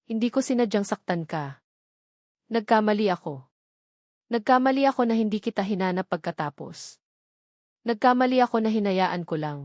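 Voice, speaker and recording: A woman's voice: mid-range voice, a little mellow, no echo, close to an everyday voice. A woman acts out a pained, remorseful young father in a romantic audio story. The lines are earnest and pleading, with emphatic repeats, in a dry, close recording. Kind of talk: dramatic audio love story.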